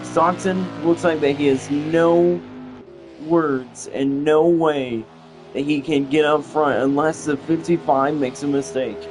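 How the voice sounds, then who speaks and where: A young male commentator calling a simulated stock car race play-by-play, halting and often repeating himself, with engine noise and music behind him. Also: fairly high pitch, steady tone. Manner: halting, repeats phrases, restarts.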